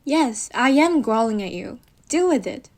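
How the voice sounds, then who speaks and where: High-pitched, pouty wolf girlfriend, acted by a woman. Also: expressive, a little slow. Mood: coaxing, teasing. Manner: pouty, pleading, teasing. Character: needy, bossy, playful wolf girlfriend, affectionate and growly. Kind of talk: roleplay audio acting, intimate direct address to listener. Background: computer keyboard typing.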